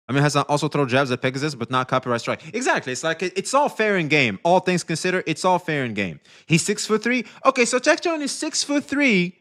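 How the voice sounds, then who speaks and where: A male streamer giving animated, profane commentary, speaking straight to his chat. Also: fairly high pitch.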